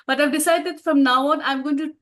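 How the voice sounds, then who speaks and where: A woman's voice: high voice, fairly even intonation, a little fast, a bit bright. A woman, an author answering interview questions in a reflective way and laughing often. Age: 50s.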